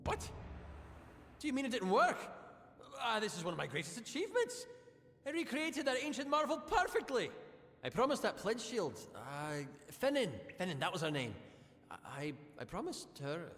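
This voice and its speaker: An actor playing a boastful, excitable fantasy locksmith in a video game, speaking in a theatrical, flustered, self-important way. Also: male voice, high voice, normal speed, exaggerated voice. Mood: flustered, dismayed. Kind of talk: video game character acting. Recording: long reverb, music behind.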